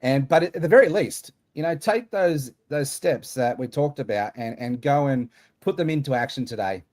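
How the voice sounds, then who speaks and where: A man's voice: medium pitch, animated, normal speed, a little mellow. A man hosting an online summit over a video call, rambling through his words with lots of fillers. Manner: rambling, many fillers and restarts. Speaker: summit host.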